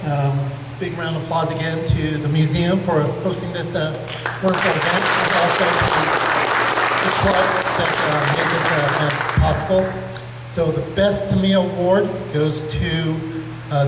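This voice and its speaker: A man's voice: fairly high pitch, fairly even intonation, moderate pace. A man giving thanks and presenting an award in a museum hall, hesitant with many fillers. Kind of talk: thank-you speech and award announcement. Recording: distant echoey hall with loud crowd noise.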